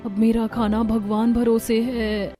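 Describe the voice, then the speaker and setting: A woman's voice: on the high side, flat delivery, slow pace, dark tone, faint music behind. A woman playing a timid, anxious young bride who speaks meekly and politely in a cartoon story. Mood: worried. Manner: meek, polite, nervous. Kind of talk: Hindi cartoon story character acting.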